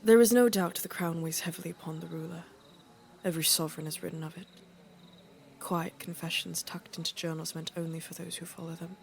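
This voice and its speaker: Casting: actress as a world-weary, middle-aged queen for fantasy audio drama. Gravelly fry, regal measured delivery, dry fond wit. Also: on the low side, steady tone, a little mellow, a little hiss or hum. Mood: weary, reflective. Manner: stately, measured, wry.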